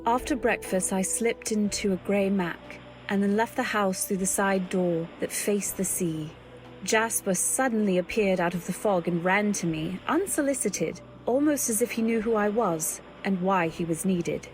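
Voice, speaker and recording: An actress playing an anxious young wife, telling her story in measured, literary old-time radio drama style. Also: mid-range voice, soft background music, lightly acted, rough recording. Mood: pensive, uneasy.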